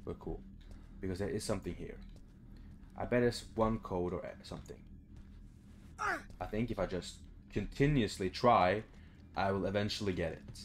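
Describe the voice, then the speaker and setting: A man's voice: low voice, a little hiss or hum. A young man giving casual, informal let's play commentary, thinking aloud and swearing as he plays. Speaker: gaming video host. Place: small room indoors at home.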